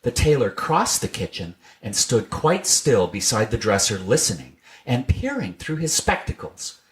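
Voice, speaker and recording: A man's voice: mid-range voice, big pitch swings, normal speed. A man reading a children's tale aloud as scripted storybook narration. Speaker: storybook reader.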